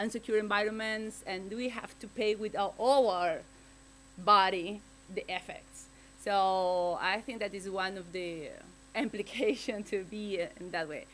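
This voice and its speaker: Woman researcher, English, halting speech, frequent restarts, panel talk, faint hum. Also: mid-range voice, fairly bright tone, poor audio. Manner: halting, searching for words, many restarts and fillers. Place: conference panel.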